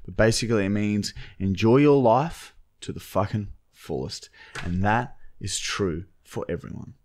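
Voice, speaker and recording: A man's voice: fairly low pitch, unhurried, background noise. A man in his thirties with an Australian accent, joking casually and swearing as a podcast co-host, playfully mock-earnest, close on the mic. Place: small podcast studio. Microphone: close boom-arm podcast mic.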